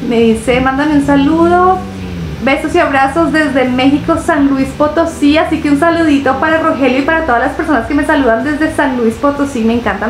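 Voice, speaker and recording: Young Colombian woman, high bright voice, chatty reaction host, echoey living room with faint hum. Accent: Colombian Spanish. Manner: chatty and expressive, drawn-out fillers. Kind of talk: casual reaction vlog to camera. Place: living room, seated on a couch.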